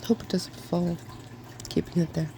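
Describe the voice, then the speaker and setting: A softspoken ASMR host reading a fairy tale from a storybook in a gentle, quiet way. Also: medium pitch, steady tone, normal speed. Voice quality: soft-spoken. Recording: clearly noisy background.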